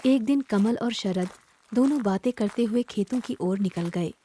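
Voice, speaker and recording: A woman's voice: medium pitch, moderate pace, mellow, breathy edge. A woman storyteller reading a scripted moral folk tale. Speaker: story narrator.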